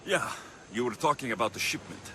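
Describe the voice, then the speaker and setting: A man's voice: medium pitch, lively intonation, a little fast, noisy background, lightly acted. A man playing a determined young male mercenary hero who talks directly and earnestly in a game cutscene. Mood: curious. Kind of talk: video game cutscene acting.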